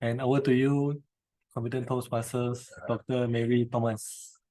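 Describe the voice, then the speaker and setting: A man's voice: mid-range voice, little pitch movement, moderate pace, dark tone, poor audio. A man acting as general evaluator, briefly and politely introducing the next speaker. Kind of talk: chairing a club meeting on a video call.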